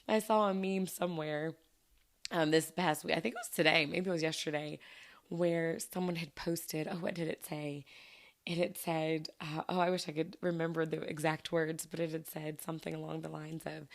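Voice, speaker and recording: Hoarse, giggly woman podcast host. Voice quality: low scratchy voice. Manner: chatty, giggly, self-deprecating. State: hoarse from allergies. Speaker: teacher. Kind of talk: casual solo podcast chat.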